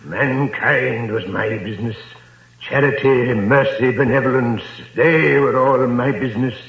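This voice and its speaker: An actor playing the ghost of a dead business partner, solemn and declaiming warnings in a 1930s radio drama. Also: male voice, medium pitch, measured pace, gravelly. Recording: echoey, dull old radio recording.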